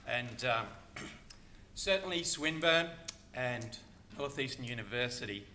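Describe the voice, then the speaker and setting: An older man giving a prepared talk on a conference panel, earnest and reflective. His voice is bright and forceful, at an ordinary pitch for a man. A faint hum of room noise sits under him. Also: expressive, a little slow. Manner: earnest, reflective, quotes other speakers. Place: conference hall.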